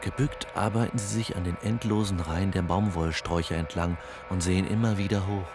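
A man's voice: deep voice, steady tone, a little fast. A man narrating a literary radio play, telling the scene like a story. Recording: music under the voice.

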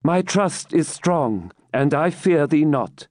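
A man's voice: fairly high pitch, animated, measured pace, darkish tone, no room sound, studio quality, voice pushed up. A man voicing a proud, devout young woman captive in an audiobook, with formal, archaic and earnest speech. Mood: steadfast. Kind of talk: dramatised audiobook reading.

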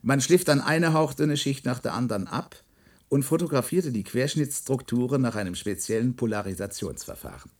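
A man portrays a middle-aged project leader in a science-fiction radio drama. His voice sits at a medium male pitch. He lectures deliberately and with assurance, with a slightly evasive streak. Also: natural voice. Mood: self-assured, explanatory. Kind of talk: German science-fiction radio play acting.

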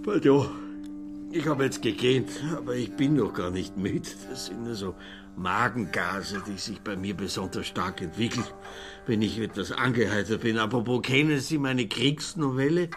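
A man's voice: fairly low pitch, normal speed, music in the background, lightly acted. An actor playing a tipsy, pompous older Austrian man of letters in a radio drama, declaiming sentimentally with an Austrian accent. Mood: tipsy, wistful.

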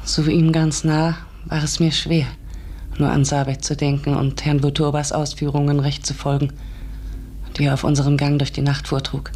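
An actress playing an earnest, unsettled schoolteacher, both narrating and speaking in a German radio drama. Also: female voice, low-pitched, warm tone, slightly rough. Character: earnest, unsettled woman schoolteacher telling her strange story. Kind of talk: German radio drama acting, first-person narration and dialogue.

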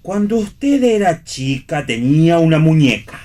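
A man's voice: on the high side, very animated, unhurried, a little mellow. Wry, sing-song would-be psychic played by a man. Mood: mock-mystical. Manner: sententious, wry. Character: wry male philosophizing would-be psychic, teasing and theatrical. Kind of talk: read theatre for radio.

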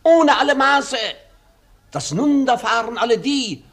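An actor playing a pious old weaver, a fervent zealot who declaims scripture in a German radio play. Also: male voice, very high-pitched, animated, a little slow, fairly bright tone, heavily acted. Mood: prophetic. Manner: preaching, declamatory, chanting scripture.

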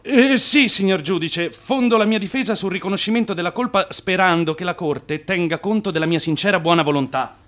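A man in a radio play voicing an eager, smooth-talking immigrant swindler who narrates himself and makes speeches. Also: high-pitched, brisk, hardly any pauses, a bit bright. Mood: resigned, pleading. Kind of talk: radio theatre acting with self-narration.

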